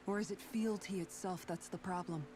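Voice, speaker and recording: A woman's voice: on the low side, fairly even intonation, normal speed, lightly acted. A woman voicing an earnest young woman warrior in a video game, thinking aloud in a questioning, reflective way. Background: game music.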